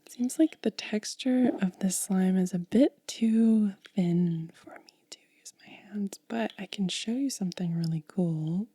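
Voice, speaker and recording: A woman doing soft-spoken ASMR, mostly whispering in a gentle, breathy, casual way as she narrates a hands-on demonstration close to the mic. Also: medium pitch, no echo. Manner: gentle, unhurried, casual commentary. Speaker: ASMR video creator and host. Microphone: close mic, dry.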